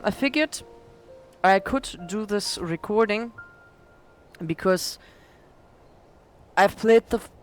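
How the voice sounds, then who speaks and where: Hoarse woman, let's play chatter, unhurried, lowish voice, self-deprecating. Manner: rambling, self-deprecating, many fillers. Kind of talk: casual gameplay commentary.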